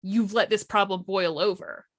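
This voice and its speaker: A woman's voice: mid-range voice, steady tone, normal speed. A woman giving casual, articulate explanations as a workshop panelist, with a wry conversational manner.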